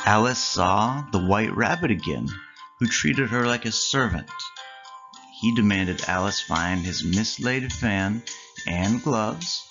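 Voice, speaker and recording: Read-aloud narrator for children: measured, deliberate phrasing, mid-pitched, clear music bed, lo-fi sound. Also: expressive. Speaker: storyteller. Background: clear background music.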